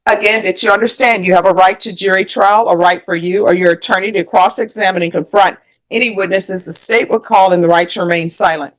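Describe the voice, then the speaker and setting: A woman's voice: medium pitch, steady tone, fairly quick, poor audio. An American woman speaking as a presiding judge, putting formal, methodical procedural questions in court. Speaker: judge presiding over a plea hearing.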